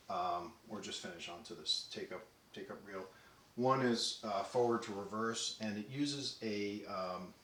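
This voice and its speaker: A man casually demonstrating a tape deck, talking in a relaxed way with many ums and restarts. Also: lowish voice, slight background noise. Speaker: audio equipment reviewer. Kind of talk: casual product demo. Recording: roomy echo with faint music.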